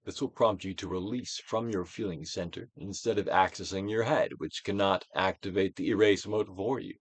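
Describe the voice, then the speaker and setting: A man giving a dramatic, theatrical reading of a self-help book as a guided exercise, with deliberate, swelling and falling emphasis. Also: low voice, fairly even intonation, no echo. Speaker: narrator, audiobook reader.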